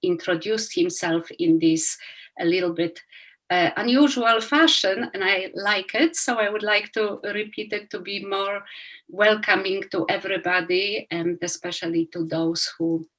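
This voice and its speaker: A woman with a Polish accent, an archivist giving a lecture over a video call, pausing often with uh and um. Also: mid-range voice, a bit bright, rough recording. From Poland. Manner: halting, many uh and um fillers. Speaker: museum archivist giving a public talk. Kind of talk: lecture with slides.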